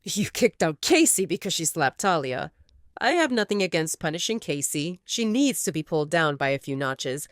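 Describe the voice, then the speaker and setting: A woman voicing a calm, persuasive male alpha of a rival pack who talks in a measured, reasoning way. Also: low voice, no room sound, studio quality.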